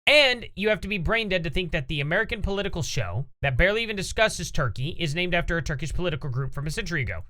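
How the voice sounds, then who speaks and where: A man's voice: on the high side, expressive, very fast, few pauses, sharp tone. A man hosting a casual reaction commentary, opinionated and rambling as he reads posts aloud. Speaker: commentary channel host.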